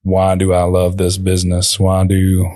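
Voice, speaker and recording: A young man, a company owner, talking quietly as a podcast guest, halting with many fillers. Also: deep voice, completely flat delivery, no room sound, studio quality. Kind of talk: podcast interview.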